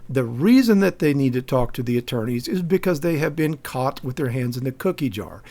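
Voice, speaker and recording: An American man speaks as an activist commentator, answering point by point. His delivery is emphatic and argumentative, with a mid-pitched voice and a pointed way of stressing his objections. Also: lively intonation, a little fast, dark tone. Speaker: activist commentator giving a response. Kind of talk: spoken rebuttal commentary.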